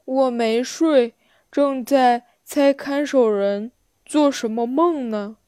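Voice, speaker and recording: Woman voicing a slow, wise storybook elephant. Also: high-pitched, dark tone, clean tone, no room sound, clean studio sound. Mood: placid. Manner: slow, deliberate, measured delivery. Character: wise, calm, unhurried elephant, mildly philosophical. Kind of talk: children's storybook character voice.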